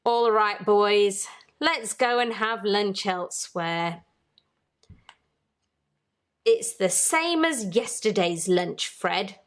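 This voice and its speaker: A woman's voice: mid-range voice, drawn-out words, long pauses. A woman voicing cheeky, greedy seagulls in a children's storybook. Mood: let down.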